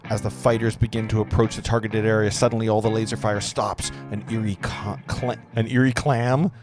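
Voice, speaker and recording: A man with a low voice reads screenplay action lines aloud in an informal, slightly bumbling way. Guitar music plays clearly behind him as he narrates. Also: flat delivery, brisk. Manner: informal, stumbles over words and jokes about it. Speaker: narrator of a table read. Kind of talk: reading screenplay stage directions aloud.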